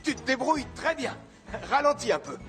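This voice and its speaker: An actor playing a good-humoured, encouraging firefighter in a French cartoon dub. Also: male voice, very high voice, big pitch swings, brisk, a bit bright, soft background music, heavily acted.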